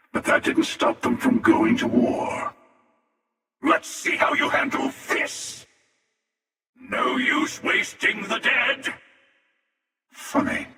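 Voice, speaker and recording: An actor playing a cynical, confident older space villain in a video game, wry and taunting, with a gravelly voice. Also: male voice, very high voice, pushed and bright, exaggerated voice. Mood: wry, dismissive, then menacing, then musing, wry. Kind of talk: video game character voice lines. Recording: very echoey hall reverb, distant.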